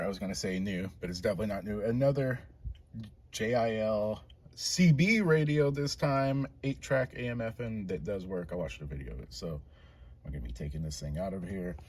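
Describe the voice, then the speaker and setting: Male, late 30s, lower register. Informal DIY car vlogger, laid-back and a little self-deprecating. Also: animated, moderate pace, slightly rough. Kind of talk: casual hands-on garage vlog narration.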